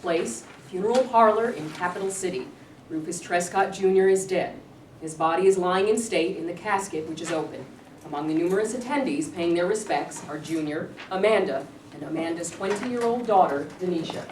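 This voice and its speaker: A woman's voice: lowish voice, moderate pace, a little mellow. A woman reading the stage directions of a play in a plain, matter-of-fact way. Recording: echoey room, distant mic, noisy.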